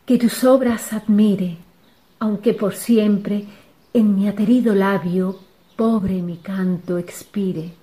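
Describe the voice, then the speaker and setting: A woman reciting a devotional poem in a slow, solemn way, sometimes dropping to a whisper. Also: medium pitch, mellow. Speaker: poetry reciter.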